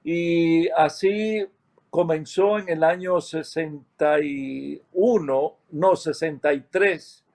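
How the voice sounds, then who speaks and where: A man with a Cuban accent tells a church story at an unhurried pace, his voice sitting a little high for a man. He stops often to search for words and fix what he just said, like someone sharing a personal history. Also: darkish tone. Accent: Cuban Spanish. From Cuba. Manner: unhurried, with many hesitations and self-corrections. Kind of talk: slow, reflective testimony.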